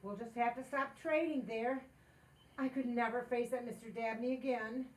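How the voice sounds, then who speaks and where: A woman playing a scheming, excitable housewife with dry wit, wisecracking theatrically in an old-time radio sitcom. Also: on the high side, moderate pace, lightly acted, low-quality recording. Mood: wry.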